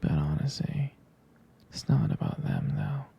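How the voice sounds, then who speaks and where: A man playing a tender, jealous, devoted boyfriend in an intimate ASMR roleplay, speaking haltingly in a breathy, husky whisper. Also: mid-range voice, sing-song, warm tone, dull audio, heavily acted. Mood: possessive, tender. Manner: hesitant, intimate, halting delivery.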